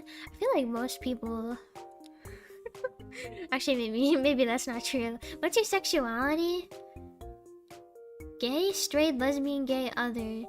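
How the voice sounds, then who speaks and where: A young woman with a tiny, sweet, high voice chats on her stream. She rambles, trails off and laughs at her own deadpan jokes. Soft music plays faintly behind her. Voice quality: small sweet voice. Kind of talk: casual live-stream chat.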